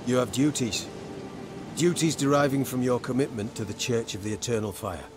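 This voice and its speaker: An actor playing a devout, firm officer of a zealous church in a video game, formal and composed. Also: male voice, mid-range voice, normal speed, lightly acted. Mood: solemn, firm. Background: game music.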